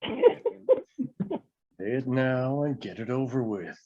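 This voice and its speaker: Man as towering wizard, deliberate, authoritative, muffled recording, fantasy script reading. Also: medium pitch, darkish tone. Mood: commanding. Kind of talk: table read acting. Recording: dull, muffled lo-fi microphone.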